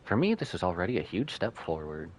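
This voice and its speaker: A man's voice: sits low, sing-song, fast, voice pushed down. Man reading as earnest young anime woman. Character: earnest, grateful, self-doubting young woman from anime game. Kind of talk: reading game dialogue aloud. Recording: game music behind voice.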